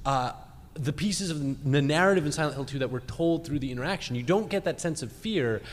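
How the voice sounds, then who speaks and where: Analytical man, higher voice, big echoey hall. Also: fairly quick. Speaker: podcast panelist steering the discussion. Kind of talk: analytical panel discussion before a live audience. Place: large convention hall stage. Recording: echoey hall, live on stage.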